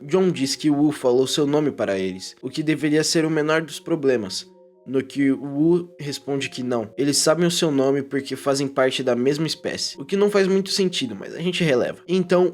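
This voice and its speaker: A man's voice: on the high side, darkish tone. A man with a Brazilian accent narrating a scripted video essay in an informal, irreverent way. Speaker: YouTuber narrator and commentator. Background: prominent background music.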